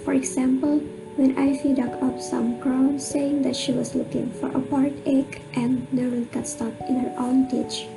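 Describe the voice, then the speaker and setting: A woman narrating an amateur radio drama in a measured, scripted reading manner. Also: high voice, little pitch movement, vocal fry, low-quality recording. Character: storytelling narrator of a family mystery. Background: music under the voice.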